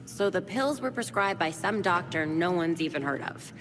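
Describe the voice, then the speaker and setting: A woman's voice: lowish voice, animated, moderate pace, noisy background, lightly acted. Woman acting a focused young detective heroine. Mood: determined. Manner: earnest, focused. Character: serious, determined young female vigilante detective. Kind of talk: video game cutscene acting. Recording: music behind.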